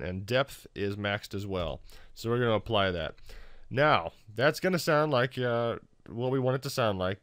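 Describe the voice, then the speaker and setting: A man with a fairly low voice hosts a software tutorial. He talks informally, with a wry, self-deprecating manner. The sound is dry and close, with little room around it. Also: dry recording. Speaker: tutorial host. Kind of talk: casual software tutorial narration.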